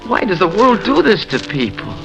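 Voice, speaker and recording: A man's voice: sits high, huge pitch swings, moderate pace, gravelly, exaggerated voice. An actor playing a desperate, lovestruck American man gone crooked in a 1950s radio drama, earnest and melodramatic. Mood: anguished. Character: desperate, lovestruck embezzling bank clerk, adult man. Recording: dull old radio recording with music.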